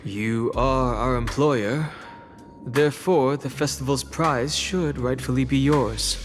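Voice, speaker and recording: Guy voicing a polite reserved game warrior, medium pitch, takes his time, faint music under. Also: natural voice. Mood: earnest, questioning. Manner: deliberate, formal. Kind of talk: video game story dialogue.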